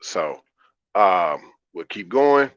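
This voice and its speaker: A man with a low baritone hosts a livestream in a calm, relaxed way. He talks conversationally to his chat and repeats phrases to drive them home. The sound is slightly muffled and lo-fi. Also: very slow. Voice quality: deep baritone. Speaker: livestream host. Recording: roomy, slightly dull lo-fi audio.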